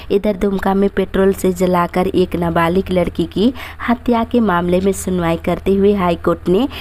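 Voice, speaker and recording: A woman's voice: mid-range voice, darkish tone. Woman reading a formal news bulletin. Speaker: news reader. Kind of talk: scripted news bulletin narration of regional headlines.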